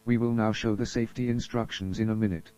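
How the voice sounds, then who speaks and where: The audio is muffled and lo-fi, carrying a man's very dark, mellow voice. He reads scripted emergency announcements in a formal, solemn manner, like a broadcast announcer addressing the public. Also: on the low side, steady tone, quick pace. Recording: dull lo-fi audio.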